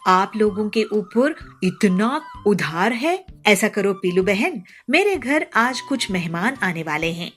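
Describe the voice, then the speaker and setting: Woman playing a sugary, mocking female neighbour, animated. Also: mid-range voice, slow, hardly any pauses, soft background music. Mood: mock-sweet. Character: nosy mocking female neighbour, falsely sweet. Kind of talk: Hindi cartoon moral story.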